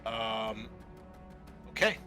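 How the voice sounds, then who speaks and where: A man runs a horror tabletop game as game master. He narrates slowly in a bright, forceful voice. Music plays underneath him. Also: on the low side, expressive.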